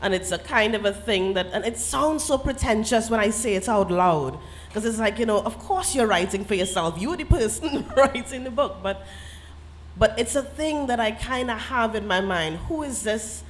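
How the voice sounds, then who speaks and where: A woman's voice: fairly high pitch, animated, normal speed. A woman novelist with a Trinidadian accent, talking reflectively and earnestly on a festival panel, laughing often and slipping into creole phrasing. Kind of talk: literary festival panel talk.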